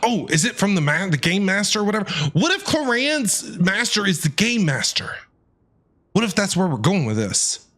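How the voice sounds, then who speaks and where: A man streaming a game, giving casual, excitable reaction commentary and thinking aloud. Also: high voice, moderate pace.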